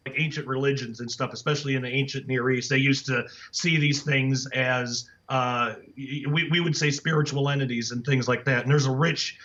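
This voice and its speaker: Raspy-voiced man, hoarse from the flu. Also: medium pitch, fairly even intonation, a little fast, few pauses, a bit bright. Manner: earnest, with many fillers and restarts. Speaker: guest author. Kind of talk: casual interview talk. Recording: dull lo-fi audio.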